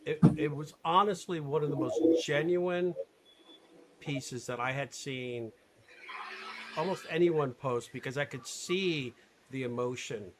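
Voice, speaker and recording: A man hosting a live webinar interview, talking in an animated, emphatic way and laughing often. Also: mid-range voice, unhurried, crowd noise. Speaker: webinar host.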